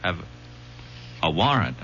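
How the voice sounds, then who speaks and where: A sly, folksy police detective. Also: male voice, sits low, very animated, background noise, heavily acted. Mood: wheedling. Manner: folksy, halting, with sly probing questions. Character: shrewd, persistent male police detective. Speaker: detective sergeant. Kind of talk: 1970s radio drama acting. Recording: dull lo-fi old radio broadcast.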